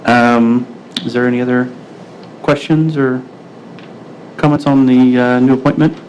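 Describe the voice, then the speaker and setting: A man's voice: mid-range voice, level tone, unhurried, a little mellow. A man speaking as mayor, running a public meeting in procedural but informal, hesitant language. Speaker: mayor chairing a city meeting. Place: indoor city council meeting room. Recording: noisy room recording of a public meeting.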